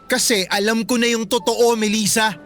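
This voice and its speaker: A man's voice: extremely high pitch, fairly even intonation, normal speed, fairly bright tone, soft background music. A man acting a guarded, resentful teenage student ex-boyfriend in a radio drama, speaking curtly. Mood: grave.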